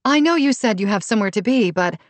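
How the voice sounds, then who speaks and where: Female narrator as anxious pregnant woman, friendly, conciliatory, lifted pitch, audiobook dialogue. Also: animated, fast talker, dry recording, lightly acted. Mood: embarrassed. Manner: nervous, conciliatory.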